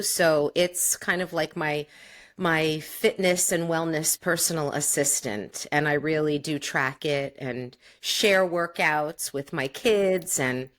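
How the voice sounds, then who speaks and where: A woman's voice: deep voice, takes it slowly, fairly bright tone. A woman, a psychotherapist, co-hosting a podcast, sounding sniffly, chatting animatedly. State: sniffly from allergies. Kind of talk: casual podcast chat.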